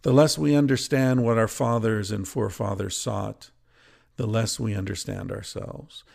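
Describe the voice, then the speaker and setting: Creaky-voiced man reading with measured delivery. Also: fairly low pitch, steady tone, a little mellow. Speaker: podcast host and reader. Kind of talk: reading a book excerpt aloud with casual asides.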